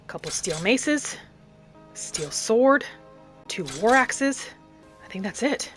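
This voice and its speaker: A woman's voice: a little high, animated, takes it slowly. A woman giving in-character roleplay commentary over a game, musing aloud in a dramatic manner. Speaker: gaming roleplay narrator. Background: faint music.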